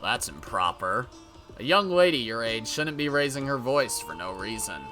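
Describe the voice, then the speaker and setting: A man's voice: mid-range voice, big pitch swings, moderate pace, soft background music. A man voicing an unhinged male freelance writer in a visual novel, theatrical and volatile. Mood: smug, menacing. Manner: shouting, wailing, taunting, theatrical. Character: unhinged male freelance writer, shifting from panic to cold menace.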